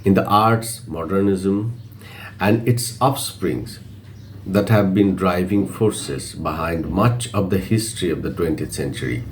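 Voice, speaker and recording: A man reads a literary essay aloud in a fairly low voice. His delivery is very slow and measured, breaking the sentences into short phrases with frequent pauses. A faint layer of noise sits behind him in a simple amateur recording. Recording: faint background noise, amateur video reading.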